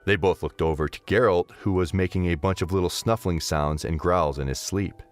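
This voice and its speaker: A man narrating a scripted story in an expressive storytelling manner. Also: sits low, moderate pace, soft background music.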